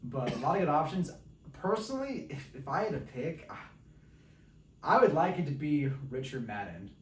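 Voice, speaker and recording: A man's voice: a little high, sing-song, normal speed. A man hosting a podcast, chatting casually about films. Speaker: podcast host. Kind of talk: casual film discussion. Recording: roomy, distant mic, faint noise.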